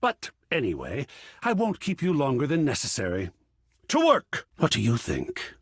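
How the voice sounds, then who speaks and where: A man voicing an excitable, cultured art curator character in a video game, theatrical and erudite, with precise diction and a husky, breathy voice. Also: medium pitch, moderate pace, no room sound, clean studio sound, full character voice. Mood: thrilled, bold. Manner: effusive, erudite, precisely enunciated, dramatic. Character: excitable, cultured art object come to life, gallery curator.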